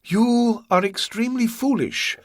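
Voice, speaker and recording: A man voicing a suave, soft-spoken crime boss who threatens with quiet courtesy in an audiobook story. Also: very high-pitched, a little slow, dry recording, clean studio sound, pitched above the performer's own voice. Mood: coldly menacing. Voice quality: smooth, silky, quietly threatening.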